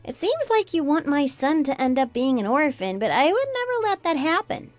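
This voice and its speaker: A woman's voice: high-pitched, very animated, moderate pace, dry recording, soft background music. A woman playing a fed-up, sarcastic daughter-in-law in a melodramatic radio drama. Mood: cold, sarcastic. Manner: sarcastic, taunting. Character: scornful, fed-up adult daughter-in-law, Anna, defiant and mocking. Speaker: voice actor in an online radio drama.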